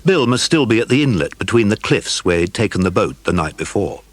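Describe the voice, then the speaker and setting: A man's voice: lowish voice, big pitch swings, fairly quick, no room sound. A man reading scripted story narration for an audiobook.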